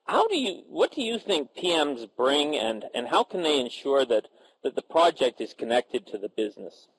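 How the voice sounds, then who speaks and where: Halting man moderating a panel. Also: medium pitch, big pitch swings, slight background noise, poor audio. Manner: halting, many filler sounds and restarts. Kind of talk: moderating a professional panel discussion.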